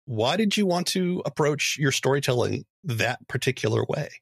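A man's voice: medium pitch, animated, moderate pace, vocal fry, husky, no room sound. A man hosting a casual interview in a chatty, amused way. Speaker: podcast host, interviewer.

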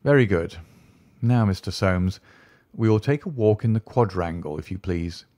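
Man playing a calm commanding detective. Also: fairly low pitch, no echo, studio quality, lightly acted. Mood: measured. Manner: measured, commanding. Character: calm incisive male detective.

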